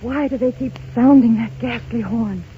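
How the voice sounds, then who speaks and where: A woman's voice: a little high, normal speed, lightly acted. Woman acting a wistful, fragile wife, muffled old radio. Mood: frightened, dazed. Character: frightened, fragile young wife on a sinking ship. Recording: muffled, hissy 1930s radio broadcast.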